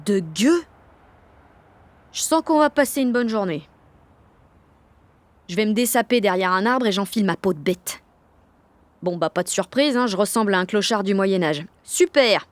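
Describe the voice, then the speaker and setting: A woman's voice: mid-range voice, animated, moderate pace, pauses often, dry recording, lightly acted. An actress with a French accent playing a cocky sixteen-year-old girl who narrates her day in slangy, sweary audio fiction. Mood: sarcastic. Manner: slangy, sweary, punchy inner monologue. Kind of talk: first-person audio fiction monologue.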